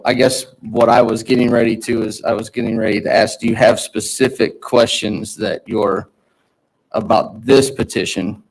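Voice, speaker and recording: A man chairing a city public hearing, procedural and halting, often repeating himself. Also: mid-range voice, fairly even intonation, gravelly, low-quality recording.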